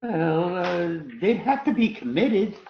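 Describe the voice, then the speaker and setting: An actor playing a polite, hesitant, formal asylum superintendent in a radio comedy, recorded with dull, echoey, noisy sound. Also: male voice, high-pitched, takes it slowly, creaky, full character voice. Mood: hesitant, fussy. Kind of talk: stage comedy acting for radio theatre.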